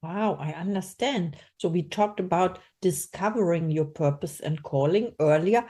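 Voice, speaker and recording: A woman's voice: on the low side, unhurried, dark tone. A woman hosting a podcast interview, asking questions and praising her guest effusively. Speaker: podcast host.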